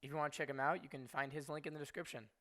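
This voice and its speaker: A man's voice: a little high, fairly even intonation, racing, bright, forceful tone, a little hiss or hum. A young man giving casual video game walkthrough narration as a guide creator.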